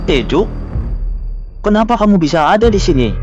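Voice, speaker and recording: A man's voice: high-pitched, very animated, moderate pace, a little mellow. A man voicing a flustered, defensive male crime suspect in a cartoon, agitated and protesting. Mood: startled. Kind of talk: Indonesian cartoon dialogue, dramatic. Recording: dry voice over music.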